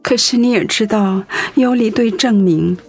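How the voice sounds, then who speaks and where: An actress playing a devoted, anxious wife in a radio drama, speaking tenderly and earnestly with feeling. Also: female voice, mid-range voice, normal speed, darkish tone, soft background music, close to an everyday voice. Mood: afraid. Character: devoted, anxious wife caring for her sick husband.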